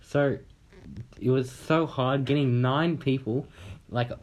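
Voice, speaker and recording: A man's voice: medium pitch, steady tone, slow pace. A young man from Australia telling a long, rambling story in casual chat on a podcast, swearing casually and acting out what people said.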